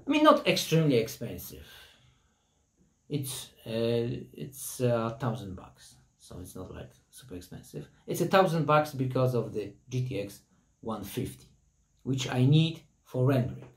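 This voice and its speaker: A man in his thirties, not a native English speaker, casually reviewing a laptop in plain, halting English and apologizing for his language. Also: medium pitch, sing-song, roomy sound. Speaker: tech reviewer. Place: hot room at home with a construction site nearby. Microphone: laptop built-in mic and camera. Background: buzzing construction noise.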